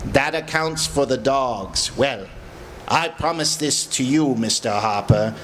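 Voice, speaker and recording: A man playing a calm, commanding invisible crime fighter in an old-time radio drama, speaking deliberately and probingly. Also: medium pitch. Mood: probing, assured. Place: live performance in a café. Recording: noisy live stage recording.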